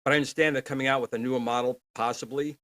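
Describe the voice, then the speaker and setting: Bright-voiced man in casual gaming chat. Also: mid-range voice, fairly even intonation, very fast, dry recording. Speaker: panelist on a gaming news show.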